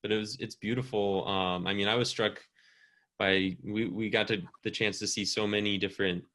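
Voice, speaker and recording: A man who makes documentaries, speaking haltingly with many pauses and restarts on a video call. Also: fairly low pitch, steady tone, pushed and bright. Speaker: documentary filmmaker. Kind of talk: conversational panel answer.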